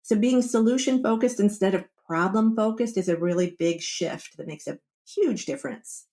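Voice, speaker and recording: A woman in a podcast interview, speaking at a middling pitch. She explains things like a coach, in a conversational way, and slips into short example quotes to make her points. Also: normal speed, a little mellow. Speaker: wellbeing coach, podcast guest.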